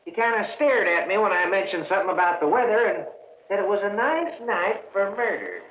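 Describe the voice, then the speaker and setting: Folksy small-town gossip, radio drama: high voice, colloquial, roomy vintage sound. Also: male voice, very animated, a little fast. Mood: animated testimony. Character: plain-spoken, gossiping small-town local man. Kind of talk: 1940s-50s radio drama acting. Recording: roomy, muffled old radio broadcast.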